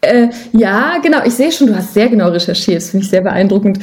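Female interview guest, mellow mid-range voice, casual and candid, conversational delivery with a modest streak. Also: mid-range voice, expressive, fast talker. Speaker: podcast guest, musician and voice artist.

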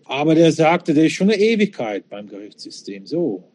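A man's voice: on the high side, sing-song, normal speed, a little mellow, no echo. A man in his fifties from Switzerland, not a native German speaker, reminiscing casually and candidly as an interview guest. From Switzerland, born in Czechoslovakia. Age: 50s.

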